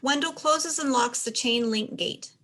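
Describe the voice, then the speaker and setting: A woman narrates a play script, reading the stage directions. Her voice sits fairly high and she delivers scripted scene descriptions. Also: a little slow, bright, forceful tone.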